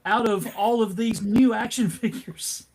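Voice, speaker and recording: Man, convention panelist. Casual commentary, wry and halting, given to comic asides. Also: sits high, fairly even intonation, a crowd in the background, poor audio. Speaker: panelist at a fan convention discussion.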